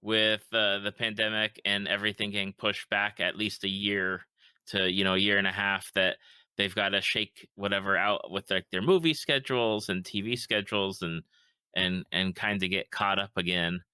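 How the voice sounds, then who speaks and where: A man's voice: fairly low pitch, fairly even intonation, normal speed, bright, forceful tone, clear, resonant tone, no room sound. A man hosting a podcast, asking questions casually with frequent restarts. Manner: conversational, stumbling restarts. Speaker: podcast host. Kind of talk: casual interview chat.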